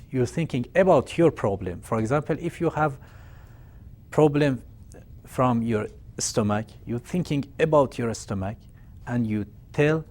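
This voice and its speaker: Slow Persian-accented man, earnest. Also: medium pitch, a little mellow. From Iran. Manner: slow, earnest, simple phrasing. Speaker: healer guest. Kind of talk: halting address to viewers in broken English.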